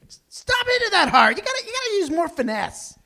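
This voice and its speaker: A woman playing an excitable, nosy male barroom buddy in a live sitcom table read. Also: extremely high pitch, a little fast, vocal fry, exaggerated voice. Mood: nosy, indignant.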